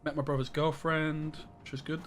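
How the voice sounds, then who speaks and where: A young man rambling through a live game stream from his bedroom, his voice sitting a touch high. He greets chat by name in a loose, chatty way, with faint game music underneath. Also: little pitch movement, normal speed.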